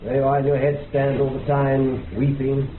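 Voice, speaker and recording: An actor playing a sober, insistent colleague in a radio drama, on a muffled recording. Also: male voice, mid-range voice, completely flat delivery, normal speed, natural voice. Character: sober colleague debunking a friend's delusion. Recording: echoey, muffled, faint hiss.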